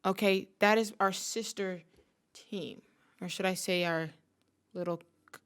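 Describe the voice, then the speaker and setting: A woman's voice: mid-range voice, fairly even intonation, a little slow, clean tone. A woman giving playful reaction commentary to camera, mock-serious and theatrical, hammering phrases through repetition. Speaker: sports fan reaction video host.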